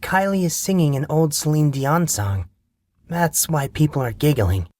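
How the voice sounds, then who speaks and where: A man voicing a sharp-tongued, smug young woman student, talking in a dry, sarcastic, teasing way. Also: fairly high pitch, expressive, normal speed, no echo, pitched above the performer's own voice, lightly acted. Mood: explaining. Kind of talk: audiobook character voice.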